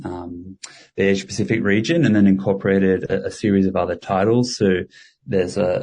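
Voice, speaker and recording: A man answering interview questions in a relaxed way, with many fillers and restarts. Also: deep voice, vocal fry, husky. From Australia. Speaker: guest, studio co-founder.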